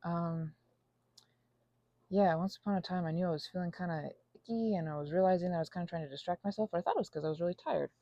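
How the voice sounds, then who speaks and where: Anxious, whimsical woman with creaky voice. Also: fairly low pitch, warm tone, no echo, dull audio. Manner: theatrical, playful whimsy; halting, tangent-prone. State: anxious, panicky; unwell. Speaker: ASMR channel host. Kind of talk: casual rambling vlog.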